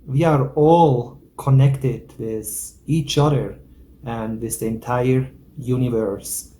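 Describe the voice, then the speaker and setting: A man from Switzerland introducing himself and his work as a spiritual teacher, pausing often with "uh". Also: mid-range voice, mellow. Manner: slow, many hesitant "uh" fillers. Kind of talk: calm introduction.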